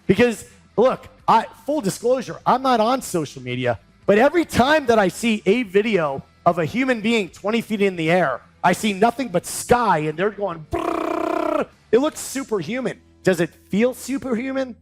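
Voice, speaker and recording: A high-voiced man hosts an interview with rambling, emphatic energy. His pitch leaps around constantly as he riffs. Faint music plays underneath. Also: very animated, normal speed. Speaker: show host. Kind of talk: animated interview hosting.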